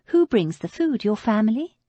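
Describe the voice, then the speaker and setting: Genteel young woman, polite and hesitant, breathy, lively tone, read by a woman narrator. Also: fairly high pitch, moderate pace, no room sound, highs cut off, voice pushed up. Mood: polite, uneasy.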